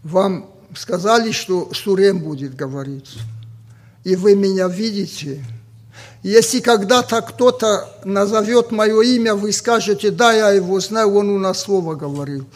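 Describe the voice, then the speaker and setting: A man from Ossetia with an Ossetian accent, a native Ossetian speaker preaching a sermon slowly and deliberately, pausing often with fillers. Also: high voice. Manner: slow and deliberate with many pauses and fillers.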